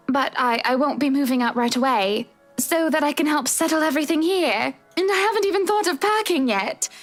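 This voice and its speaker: An actress playing a polite, hesitant wife in a visual novel, speaking in a measured, careful way. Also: female voice, high voice. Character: wife gently asking her husband for a separation. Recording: music behind the voice.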